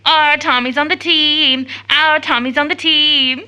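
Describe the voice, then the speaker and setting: A woman's voice: high voice, steady tone, unhurried, sharp tone, full character voice. An actress playing an impulsive, affectionate teenage daughter in an audio drama of an early 1900s play, with an American accent and a gushing, girlish manner. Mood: excited, playful. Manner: gushing, exclamatory, emotional.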